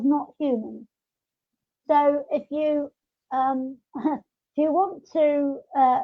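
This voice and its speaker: A woman's voice: sits high, no echo. A woman hosting a podcast in casual, chatty, rambling talk over an online call. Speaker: podcast host. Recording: dull lo-fi online call audio.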